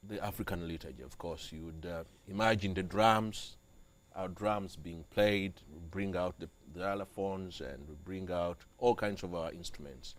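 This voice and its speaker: A young man from Uganda, a church music director, speaking as an interview guest with halting, repeated phrases. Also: low voice. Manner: halting, repeats and restarts phrases, grows animated on liturgy. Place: TV studio.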